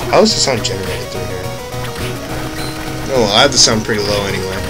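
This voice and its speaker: Young man, let's play commentary, rambling and self-correcting, loud game music behind. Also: on the low side, fast. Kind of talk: casual gameplay commentary.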